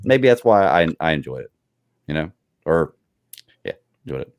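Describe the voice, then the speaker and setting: Deep, lively-voiced man on a podcast. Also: moderate pace, smooth, dry recording. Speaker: podcast co-host. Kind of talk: casual chat.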